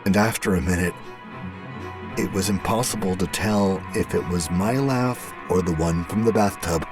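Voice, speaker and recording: A man's voice: deep voice, moderate pace, over music, close to an everyday voice. A man playing an exhausted, unhinged narrator of a horror diary, with a grave, haunted delivery. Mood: distraught. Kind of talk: dramatic first-person horror narration.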